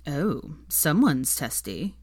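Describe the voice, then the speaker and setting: Woman playing sceptical young man, pointed, needling, low voice, audiobook character. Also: big pitch swings, slow, vocal fry, darkish tone, breathy edge, dry recording, clean studio sound, full character voice. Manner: pointed, challenging.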